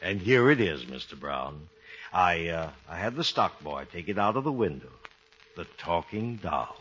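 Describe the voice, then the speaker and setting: A man's voice: low-pitched, big pitch swings, moderate pace. An actor playing a polite, effusive businessman in 1940s radio comedy, courteous and well-spoken. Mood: appreciative. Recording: dull old radio recording.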